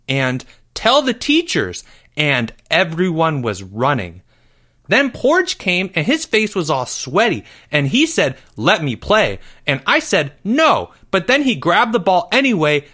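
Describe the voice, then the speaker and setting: Storybook kid, played by a male reader: timid, earnest young boy, raised pitch, drawn-out hesitant lines. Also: pushed and bright, exaggerated voice. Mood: earnest, anxious. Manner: hesitant, youthful phrasing. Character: timid, anxious, easily startled young schoolboy.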